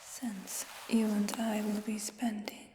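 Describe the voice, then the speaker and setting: A calm, scheming dragon hunter, as a woman puts her on for an ASMR fantasy roleplay. She speaks in a soft, unvoiced whisper at a very slow, even pace with little lift in the pitch. A long, roomy reverb hangs behind every word. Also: slight background noise. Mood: softly menacing.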